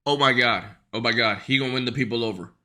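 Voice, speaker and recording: A man streaming a reaction to a video, talking loudly and profanely in slangy banter with his chat. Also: medium pitch, fast talker, loud music behind the voice. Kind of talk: casual live-stream reaction.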